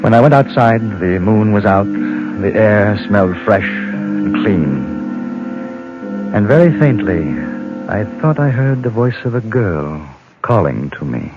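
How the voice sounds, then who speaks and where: An actor playing a composed newspaper correspondent in the Old West, grave and measured, in 1950s radio drama style. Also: male voice, on the low side, lively intonation. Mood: grave, reflective. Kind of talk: 1950s radio drama, first-person narration. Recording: muffled old radio recording with music.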